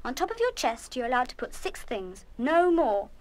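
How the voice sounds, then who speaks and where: Bossy, high-voiced schoolgirl prefect. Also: very animated, normal speed, darkish tone. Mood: officious. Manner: firm, officious. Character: bossy older schoolgirl, no-nonsense dormitory prefect. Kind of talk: full-cast children's radio play acting.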